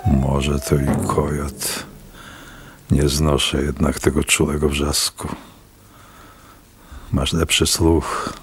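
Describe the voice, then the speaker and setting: An actor playing a hard, commanding boss in a radio play. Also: male voice, rumbling low, little pitch movement, slow, long pauses, slight background noise. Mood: wary, irritated. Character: cruel, commanding boss who turns to desperate pleading.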